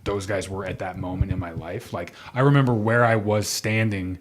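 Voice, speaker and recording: A man in his late thirties, a guest musician on a radio show, talking in a rambling, self-correcting, animated way. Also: low-pitched, moderate pace. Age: 30s. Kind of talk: casual chat.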